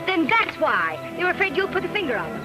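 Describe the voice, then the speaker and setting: An actress playing a detective's inquisitive, quick-witted young wife, eager and questioning, in 1940s film noir style. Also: female voice, extremely high pitch, wildly swooping pitch, full character voice. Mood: curious, concerned. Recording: old film soundtrack, prominent music behind.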